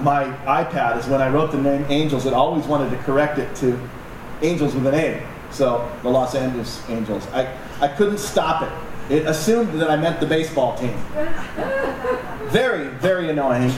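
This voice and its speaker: A man preaching a sermon to a congregation in a conversational, joking way with asides to the audience. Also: on the high side. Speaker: pastor. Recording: echoey room, distant mic, noisy.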